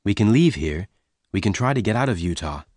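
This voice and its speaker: A man voicing a strong young cowboy in an audiobook, gentle and devoted, speaking in a measured, earnest way. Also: on the low side, animated, dry recording, clean studio sound. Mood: serious, earnest.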